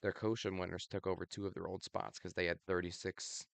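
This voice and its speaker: A young man with a very low voice and a gravelly fry talks through his predictions in a loose, offhand way, filling pauses with ums. His voice is a little raspy from allergies. The recording is dry and close, with no room sound. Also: no room sound. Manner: relaxed, many ums, laughs at himself. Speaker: video host. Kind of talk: casual predictions commentary.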